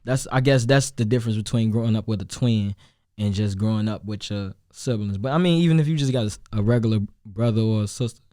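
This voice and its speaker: Deep-voiced young Chicago man, rambling. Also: fast talker, dark tone, dry recording, studio quality. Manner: loose, rambling, with many restarts and fillers. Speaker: rapper and singer, guest. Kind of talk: casual interview chat.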